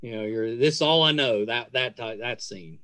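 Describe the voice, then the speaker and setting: American male podcast host, fifties, mid-pitched, chatty and hesitant, casual rambling interview style. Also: normal speed, a crowd in the background.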